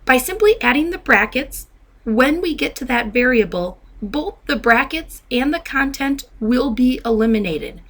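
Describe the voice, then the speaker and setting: A woman narrating a step-by-step software tutorial as a trainer, in a methodical, instructional way. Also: high-pitched, lively intonation, unhurried.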